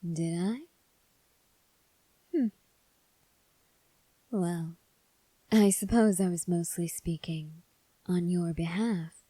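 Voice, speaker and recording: A woman playing a calm, probing female vampire in an ASMR roleplay, speaking softly and breathily with deliberate pauses. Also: lowish voice, dry recording, full character voice, low-quality recording. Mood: knowing, probing. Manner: soft, breathy, measured delivery with deliberate pauses. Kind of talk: ASMR roleplay addressed to the listener.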